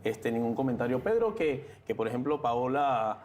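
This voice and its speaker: A man's voice: mid-range voice, sing-song, normal speed, a little mellow, slight background noise. A man hosting a televised interview and debate, framing questions in a conversational way and thinking aloud as he goes. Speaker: TV interview host.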